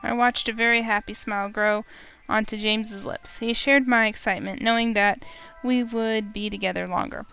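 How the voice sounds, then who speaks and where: A woman's voice: fairly high pitch, steady tone, normal speed, vocal fry, sharp tone, smooth, rough recording. A woman streamer reading a visual novel aloud, narrating expressively in first person.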